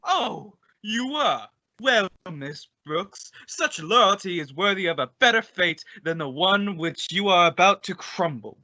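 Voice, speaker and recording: A man performs a blustering, pompous principal in a radio comedy. The delivery is theatrical and forceful, leaping from mock-solemn to outraged. The sound is dry and slightly muffled. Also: sits high, lively intonation, unhurried, no echo, full character voice. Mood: menacing, mock-solemn. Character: pompous, blustering male high school principal, easily outraged. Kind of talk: old-time radio comedy acting. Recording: dull, lo-fi audio.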